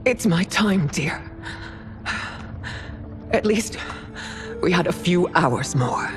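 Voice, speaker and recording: A woman playing a proud, regal warrior empress and mother in a video game, speaking in a stately, measured way. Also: fairly low pitch, expressive, music in the background. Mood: tender, fading.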